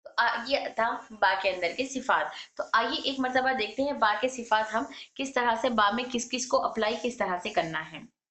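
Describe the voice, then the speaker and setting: A woman's voice: on the high side, moderate pace, fairly bright tone, echoey room. A woman teaching a recitation lesson, patiently repeating each sound and phrase. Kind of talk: tajweed lesson.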